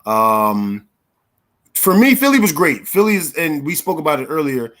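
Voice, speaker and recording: A man's voice: a little high, normal speed. Chatty man in his thirties. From Philadelphia, USA. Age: 30s. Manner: relaxed, chatty, with fillers and laughs. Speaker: interview guest, actor and performer. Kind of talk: casual storytelling interview.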